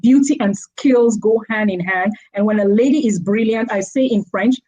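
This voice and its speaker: A woman's voice: mid-range voice, normal speed, mellow, lo-fi sound. A woman hosting a live talk show in an enthusiastic, effusive way, addressing the audience as she goes. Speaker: host.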